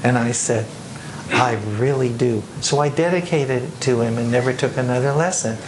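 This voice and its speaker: A man's voice: mid-range voice, moderate pace. Man telling stories in an echoey hall. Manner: warm anecdotal storytelling with frequent restarts. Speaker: composer speaking at a panel. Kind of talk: casual talk answering audience questions. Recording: echoey room with noise.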